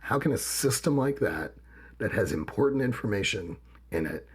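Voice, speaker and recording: A man co-hosting a podcast, with a gravelly voice, talking casually and telling personal stories. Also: on the low side, expressive, normal speed. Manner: personal, reflective, tells anecdotes. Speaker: podcast co-host. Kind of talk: casual conversation.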